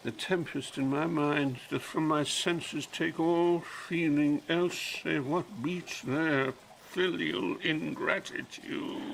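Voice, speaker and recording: Old mad king in a shakespeare radio play, slow and grand, bright gravelly voice, heartbroken and furious. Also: fairly high pitch, a little hiss or hum, exaggerated voice, poor audio. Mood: brooding. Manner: theatrical, declamatory, swinging from muttering to roaring. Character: raging old king, grief-stricken and going mad in a storm. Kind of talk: Shakespearean audio drama acting.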